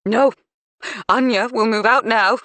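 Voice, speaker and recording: Bitter mum, high and accusing, read by a woman narrator. Dry, close sound. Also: fairly even intonation, measured pace, a bit bright, no echo. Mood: aggrieved. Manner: accusing, shrill and clipped. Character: bitter, scornful middle-aged mother.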